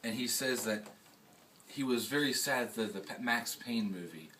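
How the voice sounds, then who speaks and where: A man's voice: mid-range voice, moderate pace, bright, forceful tone. A man hosting a casual video blog, chatting and commenting in an emphatic way with rhetorical questions. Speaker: host. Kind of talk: casual vlog commentary and chat. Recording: distant mic, faint background noise.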